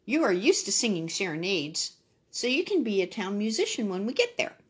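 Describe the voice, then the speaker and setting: A woman plays an upbeat donkey from a storybook, a friendly leader with a slightly husky voice. The delivery swings widely in pitch and sounds encouraging and persuasive. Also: very animated, moderate pace.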